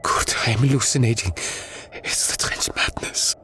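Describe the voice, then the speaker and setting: A man's voice: medium pitch, moderate pace, whispered, voice pushed up, close to an everyday voice. A man voicing a frightened, disbelieving trench soldier in an audiobook, shaky and urgent. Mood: desperate panic. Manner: shaky, urgent, breathy outbursts. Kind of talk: dramatic audiobook character voice.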